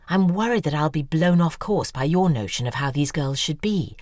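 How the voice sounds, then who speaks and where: A woman's voice: deep voice, darkish tone, no echo, studio quality, full character voice. An actress playing a suspicious, defensive woman writer in an audio drama, speaking in an insistent, probing way.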